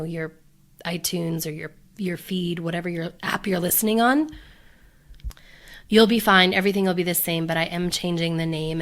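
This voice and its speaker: A woman hosting her own podcast in a chatty, upbeat way, full of fillers and restarts, her voice deepened by a cold. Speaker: podcast host. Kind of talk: casual solo podcast talk.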